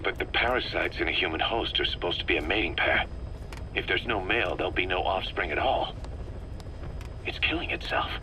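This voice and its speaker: A blunt, skeptical soldier in a game drama. His lowish voice is hard-edged and forceful as he pushes for answers. There is some background noise. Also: lively intonation, a little fast, poor audio. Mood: skeptical, insistent. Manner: blunt, challenging, insistent.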